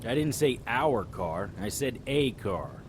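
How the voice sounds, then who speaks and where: A man voicing a hard-boiled 1920s private eye, narrating in a laconic, deadpan noir style. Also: mid-range voice, slow, background noise. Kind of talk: first-person noir narration.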